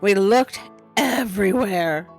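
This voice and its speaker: A woman's voice: medium pitch, takes it slowly, soft background music. A woman voicing a patient but firm father wolf in a storybook, reasoning in a fatherly way. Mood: reassuring.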